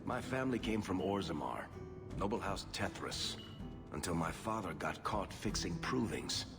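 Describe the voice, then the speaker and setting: Deep, wry roguish dwarf. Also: male voice, animated, normal speed. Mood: self-amused, breezy. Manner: sardonic, glib, self-amused storytelling. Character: wry roguish male dwarf adventurer, charming younger son. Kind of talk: video game character dialogue. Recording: music behind the voice.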